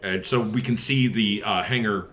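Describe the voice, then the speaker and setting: A man's voice: lowish voice, moderate pace, a bit bright. A man playing a tabletop role-playing game, chatting casually with some background noise.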